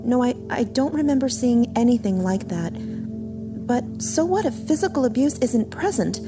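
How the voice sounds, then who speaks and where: A woman's voice: a little high, lively intonation, moderate pace, music in the background, close to an everyday voice. Woman playing an earnest, indignant would-be mother. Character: determined woman seeking to adopt a girl.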